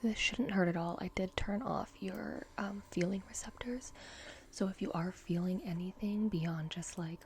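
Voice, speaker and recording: A woman doing a gentle ASMR roleplay as a reassuring technician, speaking in an unhurried, breathy whisper. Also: medium pitch, slight background noise. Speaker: ASMR roleplay performer playing a repair technician.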